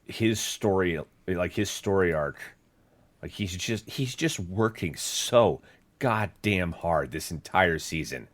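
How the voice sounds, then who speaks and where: Male co-host, very deep voice, animated, rambling casual delivery. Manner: rambling, many fillers and restarts. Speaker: podcast co-host. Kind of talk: casual chat.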